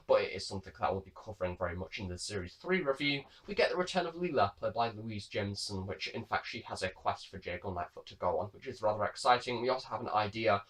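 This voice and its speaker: A man's voice: on the low side, a bit bright. A man giving a review commentary in a rambling, hedging way. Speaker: reviewer, host of an audio drama review series.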